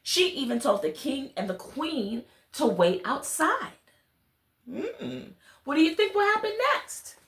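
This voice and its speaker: A woman reading a children's picture book aloud, expressive, speaking directly to her young listeners. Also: sits high, a little slow, roomy sound. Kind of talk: children's read-along with friendly asides to listeners.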